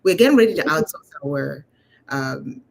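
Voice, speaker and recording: A woman's voice: deep voice, lively intonation, normal speed, vocal fry, husky, rough recording. A woman from Uganda, telling her own story conversationally as an interview guest, in a chatty, informal way. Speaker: interview guest, leadership coach.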